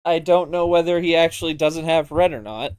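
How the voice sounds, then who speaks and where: An excitable commentator calling a card game live like a sporting event, prone to exclamations. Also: mid-range voice, a little fast, clear, resonant tone, no room sound. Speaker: play-by-play commentator.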